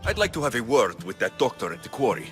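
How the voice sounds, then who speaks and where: An actor playing a blunt, wry mercenary hero in a video game set in ancient Greece, dry and direct. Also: male voice, medium pitch, very animated, fast talker, music in the background, lightly acted. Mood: businesslike. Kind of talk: video game character acting.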